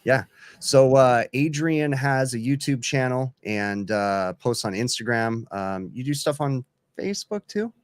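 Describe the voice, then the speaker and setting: A man of about forty hosting a casual livestream chat, halting and full of fillers. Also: mid-range voice, lively intonation, clear, resonant tone, no room sound, clean studio sound. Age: 40s. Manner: chatty, halting, many fillers. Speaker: livestream host.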